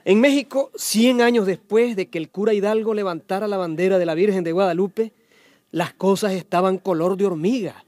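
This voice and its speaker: Male actor, radio play storyteller, folksy, resigned, high voice, conversational, emphatic. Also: moderate pace, darkish tone, natural voice. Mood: knowing, resigned. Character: folksy, resigned man explaining history to a lady. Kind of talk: radio drama dialogue.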